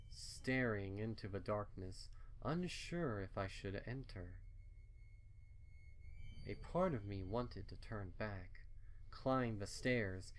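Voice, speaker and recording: A man reading a dark horror story in the first person, solemn and dramatic, as scripted narration. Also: lowish voice, a little hiss or hum. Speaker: fan fiction reader.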